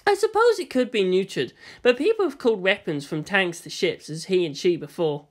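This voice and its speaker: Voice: mid-range voice, lively intonation, fairly quick, lightly acted. A narrator voicing a guarded, challenging teenage boy with a pointed manner in a read story. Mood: probing. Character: guarded, sharp-tongued teenage boy, defiant toward his father.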